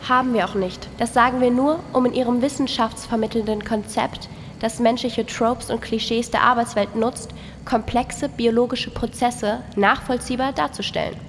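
Bossy, impatient microbe foreman for an audio play played by a woman: higher-pitched, brusque, heavy reverb. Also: steady tone, moderate pace, lightly acted. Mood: matter-of-fact. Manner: brusque, commanding. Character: bossy, impatient microorganism worker in a cow's stomach. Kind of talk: satirical audio play dialogue. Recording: long reverb, noisy ambience.

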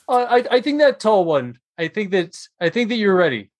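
Lively, musing mid-pitched voice. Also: normal speed. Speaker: screenwriter in a comedy scene. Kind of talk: improvised comedy scene.

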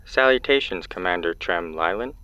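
An actor playing a grave, probing caller who challenges authority in an audio drama, speaking in terse, deliberate questions. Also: male voice, sits low, expressive, bright, forceful tone, clear, resonant tone, dry recording. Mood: grave, challenging.

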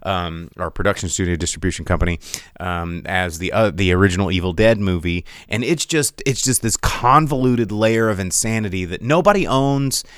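A man with a low, smooth voice rambles through casual podcast commentary. He is animated and sarcastic, and recorded on a close mic in a dry, dead room. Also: moderate pace, no room sound. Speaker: podcast host.

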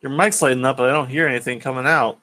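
A man's voice: mid-range voice, fairly even intonation, fast, bright, forceful tone, dry recording, clean studio sound. A man co-hosting a casual podcast, speaking in a blunt, matter-of-fact way. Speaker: podcast co-host. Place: recorded remotely over a voice chat app.

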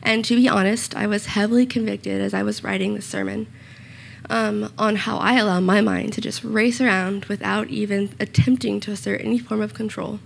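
A young woman giving a short church sermon with a slightly raspy voice, earnest and personal, with small self-conscious asides. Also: medium pitch, steady tone, normal speed, slight background noise. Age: young adult. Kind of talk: sermon with scripture reading and prayer. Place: church room.

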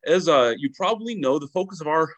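A man's voice: fairly high pitch, animated, fairly bright tone. A man hosting a podcast, reading a scripted intro and then chatting with his guest. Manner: brisk scripted intro, then friendly interjections. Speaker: podcast host. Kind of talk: podcast interview.

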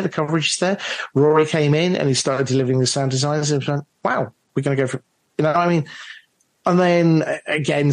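A man's voice: medium pitch, no room sound. Enthusiastic man in a dry, close recording. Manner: enthusiastic, emphatic. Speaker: audio drama director being interviewed. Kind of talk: animated interview chat.

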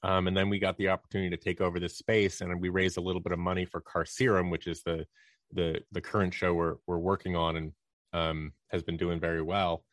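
Low-voiced man in casual, dry-sounding interview. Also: flat delivery, a little fast, dry recording. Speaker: podcast guest, audio drama producer and performer. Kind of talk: casual interview talk, explaining opinions and experience.

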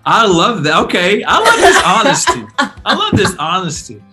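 A man hosting an interview podcast, upbeat and enthusiastic, with quick eager reactions. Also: sits high, wildly swooping pitch, pushed and bright, soft background music. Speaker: podcast host. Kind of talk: casual interview.

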